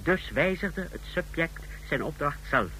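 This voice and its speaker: An actor in a radio drama playing an efficient, deferential officer of a totalitarian lab, explaining in clipped phrases. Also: male voice, a little high, expressive, unhurried, bright, forceful tone, low-quality recording. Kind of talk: radio drama acting in Dutch. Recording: radio drama with faint noise.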